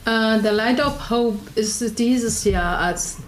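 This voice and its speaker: Chinese-accented woman, halting German. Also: a little high, a little hiss or hum. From Taiwan. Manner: broken German, animated, laughs often. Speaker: radio show host, interviewee. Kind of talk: casual interview chat.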